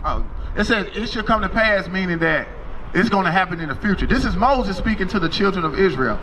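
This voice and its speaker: A man's voice: sits high, animated, brisk, sharp tone, a little hiss or hum, low-quality recording. A man street preaching to passersby outdoors, conversational and drawn out for emphasis. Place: outdoors on a city street with traffic.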